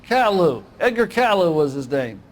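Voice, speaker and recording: An actor with an American accent playing a folksy, obliging gun store owner in a video game, chatting informally. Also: male voice, on the high side, big pitch swings, normal speed. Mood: chatty, matter-of-fact.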